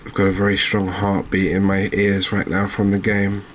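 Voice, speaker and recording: A man's voice: low voice, monotone, normal speed, a little hiss or hum. A man hosting a cozy ASMR gaming video, commentating on his play in a rambling, musing way with mild exclamations. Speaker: gaming channel host.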